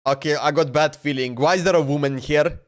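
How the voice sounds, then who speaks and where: A man's voice: fairly high pitch, fairly even intonation, a little fast. A man streaming a game, chatting loosely and laughing, often saying "bro" and "man.". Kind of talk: casual livestream chat while playing.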